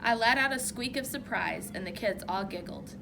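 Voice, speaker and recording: A woman reading a children's story aloud like a teacher, with expressive, emphatic narration. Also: medium pitch, moderate pace, vocal fry, noisy background.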